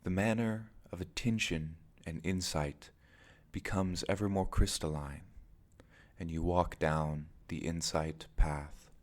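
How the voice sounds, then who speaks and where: Male meditation guide, very low-pitched, unhurried and slow, deliberate phrasing with pauses. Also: fairly even intonation. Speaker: meditation teacher.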